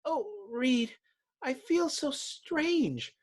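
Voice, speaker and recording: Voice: high-pitched, very animated, drawn-out words, voice pushed up, heavily acted. A performer voicing an anxious, tender young woman who speaks in a breathless, pleading, melodramatic way in a comic-book drama. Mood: frightened.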